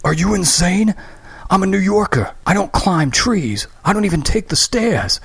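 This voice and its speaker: A man voicing a panicky, injured city man who whines and cries out hoarsely. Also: medium pitch, lively intonation, measured pace, a bit bright, dry recording, pitched above the performer's own voice, exaggerated voice. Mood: whining.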